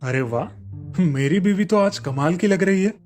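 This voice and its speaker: Man acting, young Indian husband, mild, exasperated, animated story dialogue, light music. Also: high voice, normal speed. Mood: admiring.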